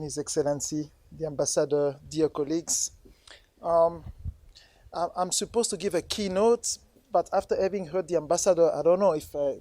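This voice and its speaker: Halting man with a French accent. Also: a little high, dark tone, no room sound. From Congo. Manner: halting, repeats words and restarts phrases. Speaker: keynote speaker. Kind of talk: formal conference speech.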